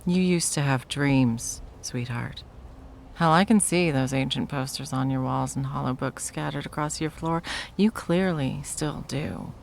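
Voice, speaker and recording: Female actor as menacing, possessive executive ex for ASMR roleplay; low-pitched, vocal fry, sardonic and condescending. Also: unhurried, slight background noise, exaggerated voice. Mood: exasperated, pressing. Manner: condescending, sarcastic, drawling sweet talk. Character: wealthy, possessive corporate executive ex-girlfriend, mocking and menacing. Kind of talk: ASMR roleplay, dramatic monologue.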